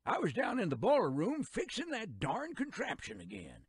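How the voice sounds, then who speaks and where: An actor playing a folksy, teasing elderly museum caretaker in a video game, with a raspy voice and a rambling rural American drawl. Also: male voice, sits high, wildly swooping pitch, moderate pace, dry recording, studio quality, heavily acted. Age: older. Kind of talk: character narration for a video game.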